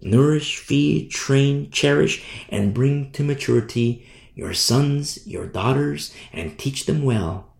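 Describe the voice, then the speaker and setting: A man preaching a sermon in an emphatic, repetitive style, swinging from hushed to loud. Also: mid-range voice, takes it slowly, creaky. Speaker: pastor. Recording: roomy echo.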